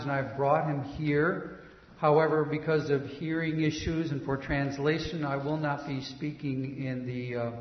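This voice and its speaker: A man with a Minnesotan accent, a pastor giving a relaxed, hesitant introduction in church. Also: mid-range voice, slight background noise. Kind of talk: church announcement. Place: church sanctuary. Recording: echoey hall, dull lo-fi sound.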